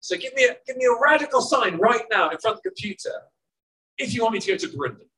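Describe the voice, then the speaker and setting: A man in his forties preaches with a bright, lifting voice that swings widely up and down. He talks to the crowd conversationally, tossing out rhetorical questions. The sermon is given outdoors in a car park. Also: extremely high pitch, very animated, quick pace, low-quality recording. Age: 40s.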